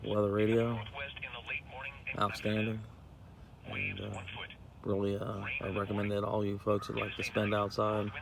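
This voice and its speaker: Deep, gravelly man reviewing gear, halting delivery. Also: poor audio. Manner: halting, hesitant, pausing often mid-sentence. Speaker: camping channel host and product reviewer. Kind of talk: casual product review vlog. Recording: noticeable background noise.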